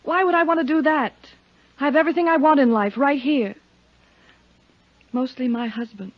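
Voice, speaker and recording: An actress playing an anxious wife, earnest and pleading in 1950s radio melodrama style. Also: female voice, sits high, normal speed, dry recording, natural voice. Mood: defensive confession. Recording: dull dry 1950s radio recording.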